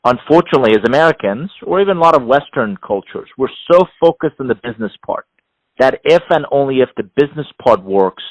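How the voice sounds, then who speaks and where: A middle-aged man from Iran, a non-native English speaker, giving measured, explanatory answers as an interview guest, often building his points in repeated phrases. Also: medium pitch, expressive, no echo, lo-fi sound. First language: not English. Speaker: interview guest, executive coach and author.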